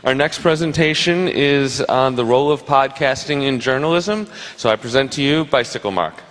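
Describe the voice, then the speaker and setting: A man's voice: medium pitch, moderate pace, a bit bright, slight background noise. Man announcing a session, plain and orderly. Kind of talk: event announcement. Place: large lecture hall. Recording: reverberant lecture hall.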